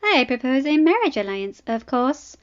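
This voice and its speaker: A woman's voice: sits high, sing-song, moderate pace, clean tone, muffled recording, pitched above the performer's own voice. A woman voicing an amused, provocative young princess with a sensual, drawling manner, as audiobook dialogue.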